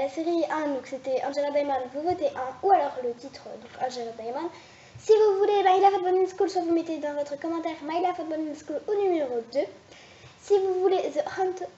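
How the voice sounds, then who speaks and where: A high-voiced woman with a soft, mellow tone rambles through her story pitches, pausing and restarting often. The sound is roomy and a little dull, with a faint hiss underneath. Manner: hesitant, rambling, many restarts. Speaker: web series creator. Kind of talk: casual vlog pitching story ideas.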